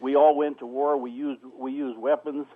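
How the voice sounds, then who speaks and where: A man of 69 calling a radio phone-in, argumentative and insistent, haltingly repeating himself. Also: mid-range voice, little pitch movement, dry recording. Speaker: radio call-in caller. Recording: tinny phone line on radio.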